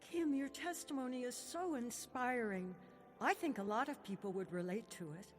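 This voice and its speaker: A woman's voice: a little high, moderate pace, faint music behind, natural voice. An actress playing a friendly, encouraging young woman in a radio drama, upbeat and persuasive.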